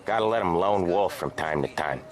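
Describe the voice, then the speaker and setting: A seasoned mobster from a video game cutscene played by a male actor speaks in a fairly low voice. He is cocky and tough, full of streetwise slang. Loud music and street sounds run behind him. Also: a little fast, close to an everyday voice. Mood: dry, matter-of-fact.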